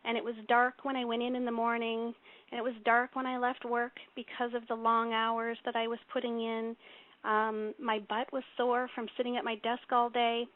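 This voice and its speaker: A woman in her forties with a young-sounding voice, giving an earnest, casual talk on a call, with many fillers and restarts. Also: a little high. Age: 40s. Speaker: speaker on a teleconference call. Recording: tinny phone line.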